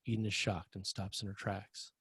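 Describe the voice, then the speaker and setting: Male table-read narrator: deeper, husky, dry sound, scripted delivery of stage directions. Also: level tone, moderate pace, a bit bright, no echo.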